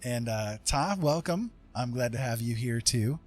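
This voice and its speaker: Husky man chatting on a livestream. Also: medium pitch, expressive, unhurried. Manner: rambling, conversational. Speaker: livestream host. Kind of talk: casual livestream chat with viewers. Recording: faint music behind the voice. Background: music.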